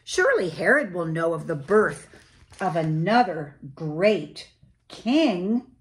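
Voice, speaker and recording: A woman's voice: on the low side, big pitch swings, slow pace. Woman as storybook wise king, earnest traveller, stately, emphatic delivery. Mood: earnest, questioning.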